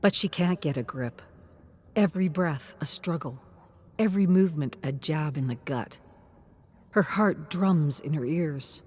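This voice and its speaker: A woman's voice: low voice, unhurried, darkish tone, husky. A woman reading a scripted story as a dramatic narrator.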